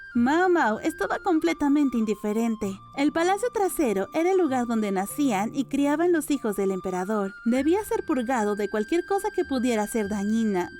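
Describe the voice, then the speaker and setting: Mellow woman narrating a novel over music. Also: on the high side. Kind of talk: scripted audiobook narration. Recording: music under the voice. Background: background music.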